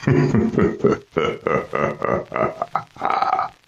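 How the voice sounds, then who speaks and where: A man playing a slow, menacing undead vampire with a raspy, ghostly voice in a radio horror drama. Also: big pitch swings, a bit bright, dull audio, exaggerated voice. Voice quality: raspy, gravelly, very deep.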